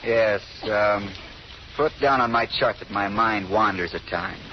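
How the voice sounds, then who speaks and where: An actor playing a charming, flirtatious scientist and patient who jokes and courts in vintage radio drama style. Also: male voice, fairly low pitch, unhurried, close to an everyday voice. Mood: charming, teasing. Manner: bantering, courting, playful. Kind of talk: 1930s-40s radio drama acting. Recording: dull, noisy old radio broadcast.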